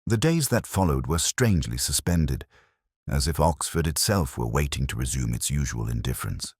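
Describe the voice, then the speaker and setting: A man giving a measured, reflective first-person narration of a detective story in a literary style. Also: very deep voice, lively intonation, darkish tone, breathy edge, dry recording, clean studio sound.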